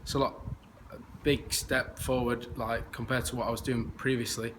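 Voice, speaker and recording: Young man, rugby player, flat voice, clear background noise, distant siren. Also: medium pitch, moderate pace. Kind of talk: interview. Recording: noisy outdoor recording with siren.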